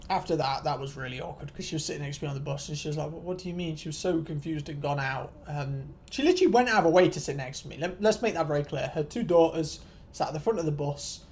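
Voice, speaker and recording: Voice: mid-range voice, darkish tone. Rambling young vlogger, self-deprecating and sweary. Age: 20s. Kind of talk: casual storytelling rant to viewers.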